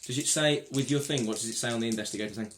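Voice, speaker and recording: A man casually playing a board game with friends, reading cards aloud and getting excited. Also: mid-range voice, rapid-fire, slight background noise. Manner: excitable, reads card text aloud.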